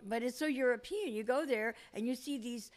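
Higher-voiced woman, native Ukrainian speaker. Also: brisk. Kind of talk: casual chat.

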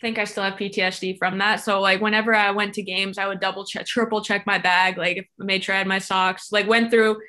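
A woman's voice: mid-range voice, level tone, a little fast, few pauses, sharp tone. A young woman from Florida, a former soccer player, telling her story in a chatty, filler-heavy way as a guest. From Florida, USA. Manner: chatty, lots of fillers and likes, laughs easily. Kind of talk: casual interview chat.

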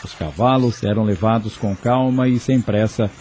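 A man narrating a scripted radio drama in a measured, solemn storytelling style. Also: fairly low pitch, darkish tone, slight background noise.

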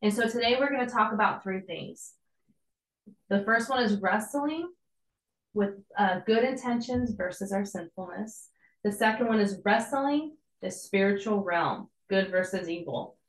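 Slightly hoarse young woman preaching. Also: medium pitch, unhurried, roomy sound, rough recording. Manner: encouraging, conversational preaching with casual asides. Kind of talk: devotional sermon.

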